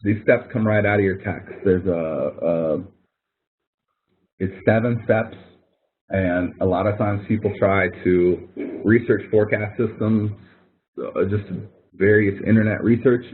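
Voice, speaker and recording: A male instructor with a fairly low voice talks through material on a thin, narrow phone-style line. He sounds informal and conversational, coaching listeners step by step. Phrases often get repeated as he explains. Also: fairly even intonation, measured pace. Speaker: college course instructor leading a webinar. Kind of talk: informal instructional webinar walkthrough. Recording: thin phone-like webinar audio.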